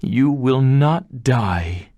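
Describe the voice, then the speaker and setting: A man's voice: medium pitch, animated, very slow, dry recording, pitched above the performer's own voice. A man voicing a brave, kind young daughter in a storybook reading, raising his voice for her. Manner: earnest, gentle.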